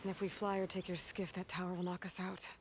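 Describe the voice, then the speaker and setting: A woman playing a determined, compassionate young huntress in a game cutscene, talking gently and sincerely with small hesitations. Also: fairly low pitch, flat delivery, fast, background noise, lightly acted. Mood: practical, calm. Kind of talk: video game cutscene acting. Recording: clear ambient game sound behind voice.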